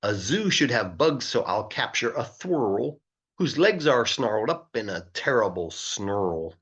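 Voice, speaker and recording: A man giving an expressive, playful read-aloud of rhyming children's verse, as an English teacher would. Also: on the low side, measured pace, breathy edge. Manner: playful, emphatic delivery of verse.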